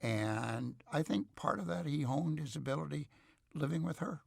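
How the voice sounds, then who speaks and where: A middle-aged or older man speaking as an expert interview guest, reflective and measured, with halting, scholarly phrasing. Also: fairly high pitch, gravelly, slightly rough, no echo, clean studio sound.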